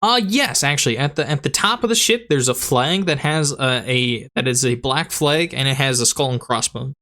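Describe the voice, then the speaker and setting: A man running a tabletop game as dungeon master, narrating in a halting, rambling way with a voice that is going hoarse. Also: mid-range voice, pushed and bright. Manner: rambling, halting, laughs often. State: losing his voice.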